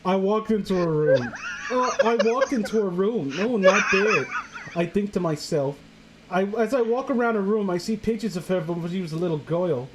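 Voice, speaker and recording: A woman's voice: mid-range voice, lively intonation, normal speed, noisy background. A woman hosting a casual comedic reading, joking crudely and irreverently as she riffs with friends. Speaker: host of a story-reading show.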